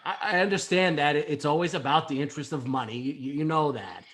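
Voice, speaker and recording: A man's voice: fairly high pitch, quick pace, fairly bright tone, natural voice. A man playing a flustered, pleading caller in his eighties in a comedic table read of a sports radio call, stammering and exasperated. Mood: pleading, whiny.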